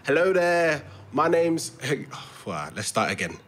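Keen young theatre lad, London accent, earnest, lively intonation, stumbling delivery, naturalistic podcast drama, faint background noise. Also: male voice, unhurried. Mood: nervous, flustered. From London, England. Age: young adult. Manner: overenthusiastic, stumbling over his pitch. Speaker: actor in a fiction podcast. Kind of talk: naturalistic audio drama dialogue.

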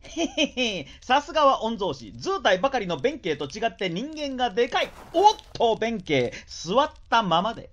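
An actor playing a boastful young ex-bandit samurai with an eloquent, rough-tongued, theatrical delivery in a radio drama. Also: male voice, extremely high pitch, sing-song, moderate pace, hardly any pauses, lo-fi sound. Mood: cocky. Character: boastful, glib 25-year-old ex-bandit samurai.

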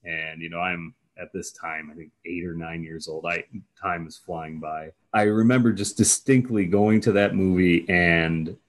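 A young man from Minnesota softly recalling a childhood memory in an interview. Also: low voice, steady tone, measured pace. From Minnesota, United States. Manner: soft, reflective storytelling.

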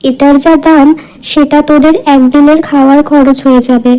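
A woman's voice: high-pitched, steady tone, moderate pace, dark tone, lightly acted, rough recording. A woman voicing a spiteful, boastful, condescending neighbour woman in a cartoon village tale. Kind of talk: cartoon fairy-tale dialogue. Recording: music under the voice.

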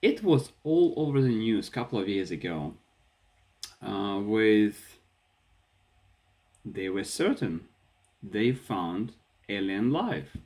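A man with a Ukrainian accent talks straight to his phone camera from a small room at home, his hands moving as he goes. His voice sits a little on the low side. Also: slow pace, pauses often. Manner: animated, gestures with his hands while talking. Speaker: vlogger. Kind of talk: casual talk to camera. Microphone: phone camera at arm's length.

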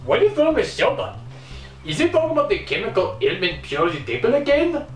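A narrator voicing an exasperated, excitable young man in a homemade story reading. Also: medium pitch, fast, echoey room, distant mic, soft background music, pitched above the performer's own voice. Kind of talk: amateur fan-fiction story reading.

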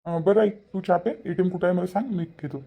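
A man's voice: sits high, a little fast, gravelly, warm tone, husky, exaggerated voice. A man voicing a polite, matter-of-fact younger brother in a cartoon family drama, with a Varhadi Marathi accent. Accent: Varhadi (Vidarbha) Marathi. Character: helpful, polite younger brother running errands.

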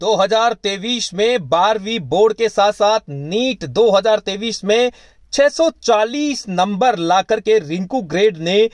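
Male promo narrator, high-pitched, punchy persuasive read, dry studio sound. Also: no echo. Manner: emphatic, persuasive sales delivery. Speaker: advertisement narrator. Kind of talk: promotional voice-over.

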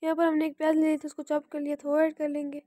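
Quick, flat-voiced woman cooking host. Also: sits high, no echo, studio quality. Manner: plain, repetitive instructional narration. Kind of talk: step-by-step recipe voice-over.

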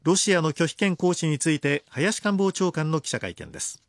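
A man reading a scripted radio news bulletin in a formal, measured, clearly articulated way. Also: a little high, dry recording. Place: radio studio.

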